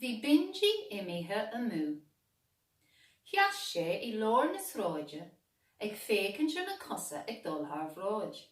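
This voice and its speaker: Slow, lively Irish woman storyteller. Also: mid-range voice. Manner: deliberate, expressive storytelling delivery. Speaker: storybook reader, teacher. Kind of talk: children's storybook read-aloud. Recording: echoey room, distant microphone.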